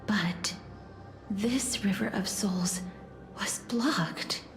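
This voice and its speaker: An actress playing a gentle, polite young woman tied to death in a game, speaking softly and courteously. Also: mid-range voice, drawn-out words. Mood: hushed, pensive. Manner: soft, hushed, courteous. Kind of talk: scripted game drama acting. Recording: echoey, with game music behind.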